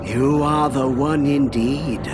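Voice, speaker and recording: An actor playing a cryptic, gleeful old gravedigger in a video game, with a gravelly voice and a mysterious, knowing manner. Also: male voice, medium pitch, steady tone, background noise, lightly acted. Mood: amused, knowing. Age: older. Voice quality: creaky, gravelly. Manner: slow, cackling, mysterious, swooping delivery. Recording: loud music underneath. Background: loud music.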